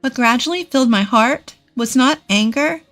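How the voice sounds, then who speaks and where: Casting: female story narrator, higher register, scripted first-person delivery, faint music bed. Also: lively intonation, moderate pace, fairly bright tone.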